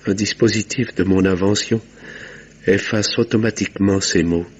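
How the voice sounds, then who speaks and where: Man acting a weary village doctor in an old radio mystery, deep voice, formal, muffled recording. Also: fairly even intonation, slight background noise, close to an everyday voice. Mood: resigned confession. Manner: measured, formal, understated. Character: male village doctor, guarded, weary, quietly bitter murderer. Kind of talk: 1950s French radio drama acting. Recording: dull, muffled vintage radio sound.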